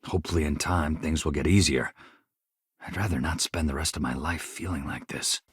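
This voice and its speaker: Earnest game spaceship engineer, low-pitched, rough-edged husky voice, measured, sincere, shaken by trauma. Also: male voice, no echo, studio quality, exaggerated voice. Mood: weary, shaken. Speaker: video game companion character. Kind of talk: naturalistic game dialogue acting.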